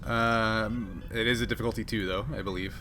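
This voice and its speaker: A man's voice: lowish voice, steady tone, moderate pace. A man running a tabletop roleplaying game, narrating the action and thinking aloud with some hesitation. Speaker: game master. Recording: clearly noisy background.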